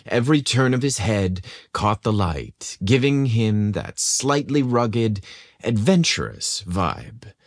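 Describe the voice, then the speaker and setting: A man narrating scripted fiction in a descriptive, deliberate storytelling manner with expressive emphasis. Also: lowish voice, no echo, studio quality.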